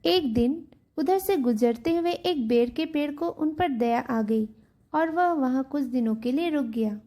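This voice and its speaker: A woman's voice: high-pitched, measured pace, dark tone. A woman telling a scripted children's story as a storybook narrator.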